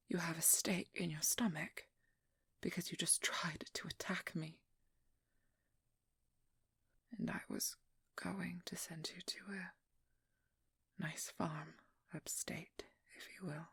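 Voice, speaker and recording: A woman playing a determined, exasperated vampire hunter with a guarded caring side, speaking softly and often in whispers in an intimate ASMR audio roleplay. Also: low-pitched, no room sound, full character voice. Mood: quiet, guarded. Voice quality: soft, breathy, often whispered.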